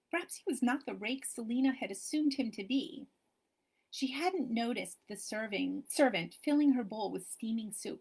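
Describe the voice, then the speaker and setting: Soft-voiced woman reading her novel. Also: on the high side, husky, low-quality recording. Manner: expressive, shifting with the story. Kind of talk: audiobook-style reading of a romance novel.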